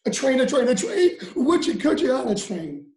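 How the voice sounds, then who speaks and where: A man's voice: extremely high pitch, very animated, moderate pace, a little mellow, reverberant, voice pushed up, exaggerated voice. A man voicing a pushy, eager little storybook creature with sing-song, coaxing questions. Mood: excited pestering.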